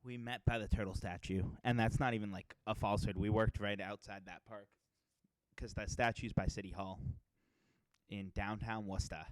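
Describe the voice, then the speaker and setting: A man's voice: on the low side, fairly even intonation, moderate pace, no room sound, low-quality recording. A young man from Massachusetts co-hosting a podcast, chatting casually with rambling, self-deprecating asides. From Massachusetts, USA. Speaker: podcast co-host. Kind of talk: casual chat.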